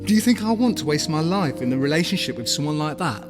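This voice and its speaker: An actor playing a bluntly cruel, self-loathing boyfriend in an audio drama, with an accusing, emotionally charged delivery. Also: male voice, fairly high pitch, animated, fast talker, over music, natural voice. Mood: bitter, resigned. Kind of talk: dramatic audio play dialogue.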